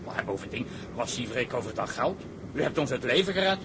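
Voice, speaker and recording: An actor playing an animated seafaring man in a Dutch period drama. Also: medium pitch, a little fast. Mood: protesting, grateful. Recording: clearly noisy ambience.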